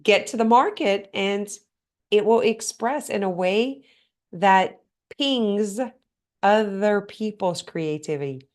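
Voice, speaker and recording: A woman's voice: medium pitch, slow pace, a little mellow. Earnest woman hosting a motivational podcast. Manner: earnest, questioning the listener directly. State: slightly hoarse, congested. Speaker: podcast host. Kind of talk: motivational solo talk.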